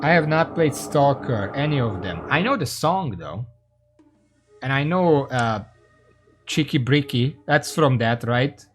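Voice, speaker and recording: A man's voice: mid-range voice, expressive, unhurried, soft background music. A man from Hungary, giving casual, rambling, sweary live commentary as he streams a game and chats with viewers.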